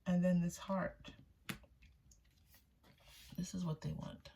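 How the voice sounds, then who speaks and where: A woman's voice: lowish voice, level tone, slow pace, creaky, warm tone, slight background noise. A woman giving a casual, intuitive tarot reading for viewers, often repeating phrases for emphasis. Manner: voices another person's thoughts in first person. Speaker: tarot reader, channel host.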